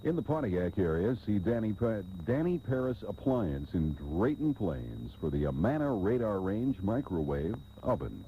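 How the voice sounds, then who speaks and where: American radio announcer man, very animated pitch. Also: normal speed, hardly any pauses. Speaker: station announcer. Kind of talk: scripted local ad reading. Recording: dull old radio tape, faint hiss.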